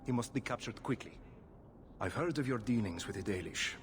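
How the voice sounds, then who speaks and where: A man's voice: medium pitch, expressive, fairly quick, a little hiss or hum, close to an everyday voice. Man acting a suave, courtly foreign nobleman. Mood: grave, persuasive. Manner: courtly, theatrical. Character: suave, flowery Antivan nobleman hunting an assassin. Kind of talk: video game cutscene acting.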